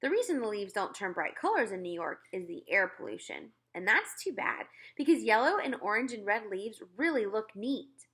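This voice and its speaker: A woman reading a children's book aloud in an expressive storytelling style. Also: mid-range voice, moderate pace, hardly any pauses, husky. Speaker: read-aloud reader.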